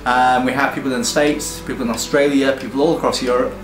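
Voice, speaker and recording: A British man pitching his game studio's project, talking enthusiastically with frequent ums and restarts. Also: little pitch movement, quick pace. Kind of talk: earnest crowdfunding pitch. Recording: echoey room with faint music.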